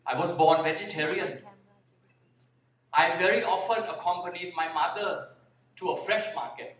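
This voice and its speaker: A man with a Thai accent giving a slow, deliberate talk on a conference panel, sometimes repeating his words. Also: sits high, fairly bright tone. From Thailand (Bangkok). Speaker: panel speaker, vegan activist. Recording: echoey hall, mic heard from far.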